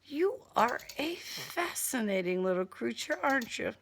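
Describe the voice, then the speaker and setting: A woman's voice: fairly high pitch, sing-song, unhurried, a bit bright, slight background noise, full character voice. A woman voicing a smug male serial killer in an audiobook, with polite, condescending, formal diction and a taunting menace. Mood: taunting, intrigued.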